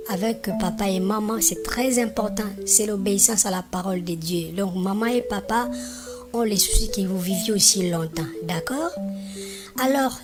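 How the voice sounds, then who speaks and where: A woman voicing a kindly, devout grandmother who patiently teaches her grandchildren in an animated religious film. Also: medium pitch, natural voice. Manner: patient, instructive, often checks understanding.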